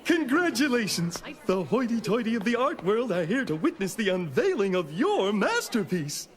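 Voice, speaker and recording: A pompous, gushing gallery owner. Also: male voice, high voice, big pitch swings, normal speed. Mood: announcing. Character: pompous excitable male art gallery owner. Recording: cartoon soundtrack with background music.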